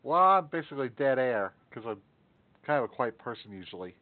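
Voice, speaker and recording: A man's voice: mid-range voice, animated, brisk, no echo. Man muttering over a tinny line. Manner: muttering, swears at technical trouble. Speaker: stream host. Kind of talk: casual live stream chat. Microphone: desktop mic. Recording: narrow phone-like sound.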